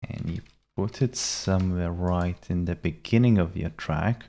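A young adult man explaining software step by step in a measured, instructional way. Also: deep voice. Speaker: tutorial presenter. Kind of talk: step-by-step software tutorial narration. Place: small indoor room at a computer.

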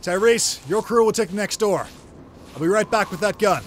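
A man playing a practical survivor group leader in a comic dub, speaking in a measured, instructive way. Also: sits high, slight background noise, close to an everyday voice. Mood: commanding. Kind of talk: amateur comic-dub voice acting.

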